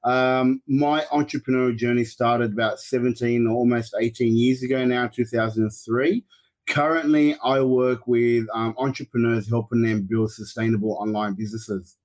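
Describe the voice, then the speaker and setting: A man's voice: mid-range voice, level tone, normal speed, a little mellow, rough recording. A man in his forties from Lebanon, Arabic-speaking by birth, reflectively recounting his life as a podcast guest. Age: 40s. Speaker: guest, business coach. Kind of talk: podcast interview.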